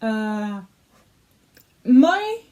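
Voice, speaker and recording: A woman's voice: a little high, big pitch swings, drawn-out words, mellow, highs cut off. A young woman with a Swedish accent chatting casually in a reaction video, giggly, with slightly broken English grammar. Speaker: YouTuber reacting to songs.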